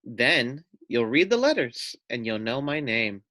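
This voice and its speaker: A man's voice: mid-range voice, big pitch swings, a little slow, bright, forceful tone, no echo. A man voicing a small, fluffy young female lamb, innocent-seeming but crafty, in a children's storybook. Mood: coaxing.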